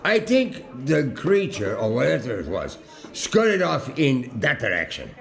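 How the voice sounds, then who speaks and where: Creaky, halting eccentric alien ambassador. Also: male voice, mid-range voice, wildly swooping pitch, fairly bright tone, heavily acted. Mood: evasive, musing. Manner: halting, rambling, theatrical. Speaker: voice actor. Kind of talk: science fiction audio drama acting.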